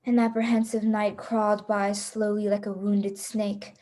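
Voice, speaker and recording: A woman's voice: mid-range voice, little pitch movement, a little slow, dark tone, close to an everyday voice. A woman playing a frightened but resolute hunted heroine, narrating a radio play in a dramatic, suspenseful way.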